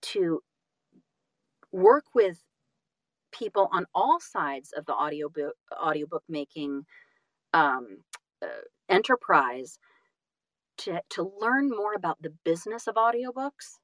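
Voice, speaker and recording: A woman's voice: fairly low pitch, takes it slowly, long pauses, no echo. A woman speaking as a guest audiobook narrator and actor in a casual interview, earnest and effusive, slipping into little acted-out asides. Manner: expressive, acts out little quotes and asides.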